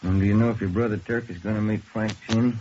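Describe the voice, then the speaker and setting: An actor playing a steady, laconic police detective, the partner in a radio crime drama. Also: male voice, fairly low pitch, fast, natural voice. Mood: calm, laconic. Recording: muffled, very noisy old radio recording.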